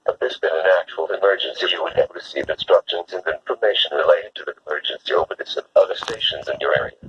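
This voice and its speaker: Deep synthetic male announcer on muffled radio. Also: fast talker. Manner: flat, synthetic text-to-speech delivery. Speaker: emergency alert announcer. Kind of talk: scripted public emergency test announcement. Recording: muffled, noisy weather radio broadcast.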